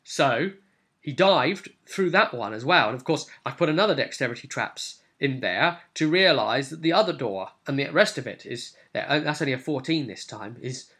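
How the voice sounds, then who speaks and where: A man with a bright, forward voice gives a casual recap as a game master. He explains things in a rambling but keen way, at a middling pitch, as if talking a viewer through his session. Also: expressive, moderate pace.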